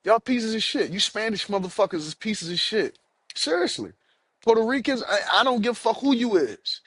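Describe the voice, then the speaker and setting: A man's voice: high voice, expressive, moderate pace, fairly bright tone, husky, dry recording. Angry, profane man ranting on a podcast. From United States. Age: adult, has children. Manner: angry, profane, repeats himself. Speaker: podcast host. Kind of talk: solo podcast rant.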